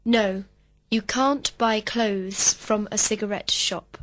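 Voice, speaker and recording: A woman giving slow, complete textbook answers as a model student in a recorded English lesson. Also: medium pitch, steady tone, a bit bright. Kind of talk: scripted full-sentence answers.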